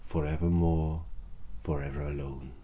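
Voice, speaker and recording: A man with a Welsh accent voicing a scheming old alchemist in an ASMR roleplay. He speaks softly in archaic thee-and-thou speech and sometimes slips into whispers. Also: extremely low pitch, level tone, measured pace. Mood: quietly menacing. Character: scheming old male court alchemist, wretched and sinister.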